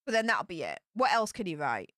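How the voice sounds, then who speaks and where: A woman's voice: on the low side, very animated, brisk, a bit bright, no echo, studio quality. A woman with a Yorkshire accent hosting a casual livestream, reading viewer comments aloud and answering them in a chatty way with broad local slang. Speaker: livestream host reading chat. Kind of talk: casual live chat.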